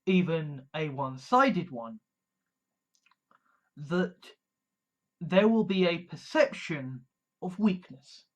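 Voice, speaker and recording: A man from England, giving a measured, deliberate monologue full of restarts and fillers. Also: high voice. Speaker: video commentator. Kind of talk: casual monologue.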